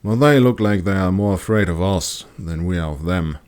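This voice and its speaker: A man's voice: sits low, normal speed, a little mellow, natural voice. A man playing a bold, curious expedition leader with an assured, explanatory manner in an audiobook.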